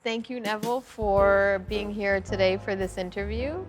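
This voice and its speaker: A woman's voice: medium pitch, moderate pace, soft background music. A woman conducting an interview, putting prepared questions to her guest.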